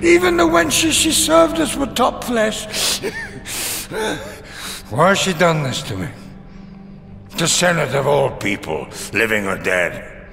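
A very high, swooping voice for an unhinged video game man. He rambles bitterly, halting and repeating himself. Long hall reverb surrounds him. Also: big pitch swings, vocal fry, fairly bright tone, soft background music, heavily acted. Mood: distraught, rambling. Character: unhinged, bitter man babbling of betrayal and luxury.

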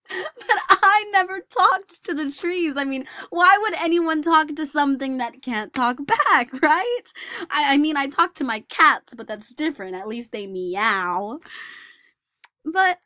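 A woman playing a shy, socially awkward male savant in a dramatic monologue, halting and repeating words. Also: high-pitched, expressive, sharp tone, no room sound, clean studio sound, pitched above the performer's own voice, heavily acted. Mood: agitated, nervous laughing. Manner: halting, repeating words, swinging from whispers to nervous outbursts. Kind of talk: dramatic monologue for a speech competition.

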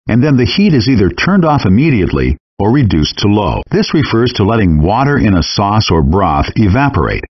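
A man reading calm, scripted cooking instructions as a game narrator. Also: on the low side, husky, lo-fi sound.

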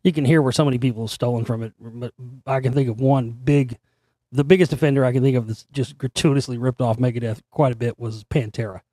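A middle-aged man talks his way through a solo broadcast with a hoarse, worn-out voice that is only half back. His tone is dark and mellow, and the sound is dry and close, with no room around it. He rambles loosely, full of fillers and the odd swear word. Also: medium pitch, steady tone, fast, no room sound. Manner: rambling, conversational, frequent fillers and mild swearing. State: hoarse, voice recovering after straining it. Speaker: radio show host. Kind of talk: casual solo talk show monologue.

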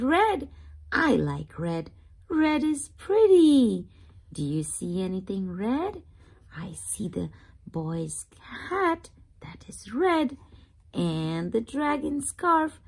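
High, slow, lilting woman reading to children. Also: creaky, breathy edge. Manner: expressive, simple teacherly delivery for young children. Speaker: host of children's read-aloud channel. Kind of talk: children's picture-book read-aloud with simple questions.

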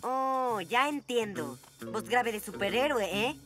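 A woman's voice: on the high side, lively intonation, takes it slowly. A woman voicing a boastful young boy space-captain hero in a children's cartoon, pompous and showing off. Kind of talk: animated children's cartoon dub. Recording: music bed behind the voice.

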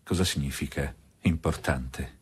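A man's voice: a bass voice, a little slow, background noise. An actor playing a stern, possessive middle-aged lawyer father in an Italian radio drama, curt and authoritarian. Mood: cool, probing.

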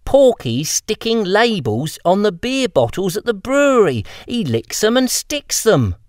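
A man's voice: high-pitched, very animated, measured pace, no echo, clean studio sound, voice pushed up. A man voicing a small, sassy young girl apprentice, gossipy and a bit scornful.

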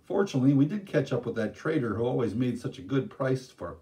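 A man's voice: medium pitch, fast, natural voice. A man voicing a dramatic older male storyteller who teases his listeners. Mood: teasing. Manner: animated, teasing.

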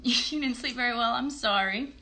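High, bright-voiced woman hosting for children. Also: normal speed. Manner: animated, encouraging, talks to small children. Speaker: children's livestream host and puppeteer. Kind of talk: children's singalong and chat to young viewers. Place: bedroom, indoors. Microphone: phone at about arm's length or a little more.

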